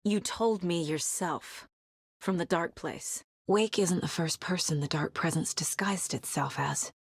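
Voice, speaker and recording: Serious, determined female investigator, lowish voice. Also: unhurried, gravelly, breathy edge, no echo, clean studio sound, exaggerated voice. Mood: firm, urgent, then hushed, worried. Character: determined, sharp female FBI investigator, guarded yet driven. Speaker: video game voice actress. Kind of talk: naturalistic video game dialogue acting.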